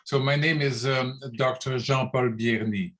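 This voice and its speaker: A man from Belgium, calling in to give public comment at a city meeting. He searches for words with frequent pauses and restarts, in a middle-pitched voice. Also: fairly even intonation, a bit bright. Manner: hesitant, many fillers and restarts. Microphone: phone call into online meeting.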